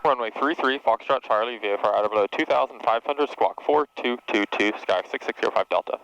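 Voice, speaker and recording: A man's voice: medium pitch, fast talker, creaky, a bit bright, lo-fi sound. A man working as a private pilot, making clipped radio calls and readbacks from a small airplane cockpit on a headset. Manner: clipped phraseology. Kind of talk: radio position calls and readbacks to air traffic control. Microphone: aviation headset. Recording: noisy cockpit radio with engine drone.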